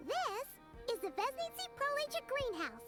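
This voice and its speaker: Game fairy sidekick: childlike, extremely high pitch, bouncy melodic swings, chirpy and dramatic, music behind. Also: female voice, big pitch swings, a little slow, full character voice. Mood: chirpy, informative. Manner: speaks of self in third person, chirpy, dramatic. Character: tiny excitable childlike fairy girl companion and tour guide. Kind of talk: video game voice acting. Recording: loud game music underneath.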